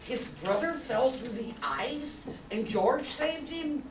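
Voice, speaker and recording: An innocent, eager apprentice angel acted by an older woman in a radio play. The voice is fairly high and very animated, heard at a distance in a roomy, noisy space. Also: takes it slowly. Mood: wondering. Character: eager, innocent two-hundred-year-old apprentice angel. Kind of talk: old-time radio play acting. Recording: roomy, distant stage recording with noise.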